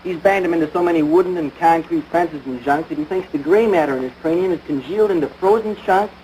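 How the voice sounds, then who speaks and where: An actor playing an earnest, dim-witted American high school boy in a domestic comedy, mixing slang with lofty words. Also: quick pace, a little mellow, natural voice. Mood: earnest, matter-of-fact. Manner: earnest slangy talk mixed with lofty wording. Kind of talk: domestic radio-style situation comedy. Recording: noisy vintage broadcast recording.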